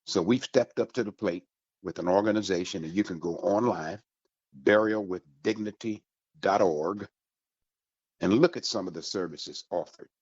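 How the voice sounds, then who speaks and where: Male radio host, low-pitched voice, deliberate emphatic announcements, dry close sound, muffled lo-fi recording. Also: a little mellow, breathy edge, no echo. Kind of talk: promotional radio talk with announcements.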